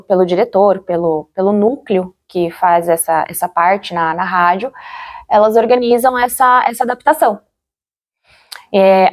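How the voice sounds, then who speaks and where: A Brazilian woman giving an interview, recalling her experience in a reflective, halting way, searching for words and often checking for agreement. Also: fairly low pitch, a little mellow. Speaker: interviewee, former radio drama actor. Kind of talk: interview, personal recollection.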